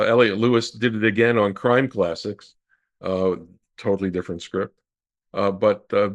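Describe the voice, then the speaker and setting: Male interview guest, lower register, measured academic delivery with pauses to think. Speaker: interview guest, radio historian. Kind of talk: conversational explanation.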